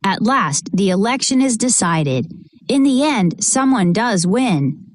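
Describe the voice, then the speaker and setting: Expressive, unhurried woman reading to children. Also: mid-range voice, dry recording. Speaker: picture book reader. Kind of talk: children's read-aloud narration, acting out short dialogue.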